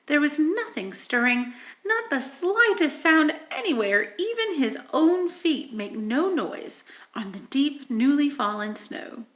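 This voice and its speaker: A woman reading a children's story aloud in an expressive, dramatic manner, with emphatic stresses and hushed moments. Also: high-pitched, rough recording. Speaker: audiobook reader.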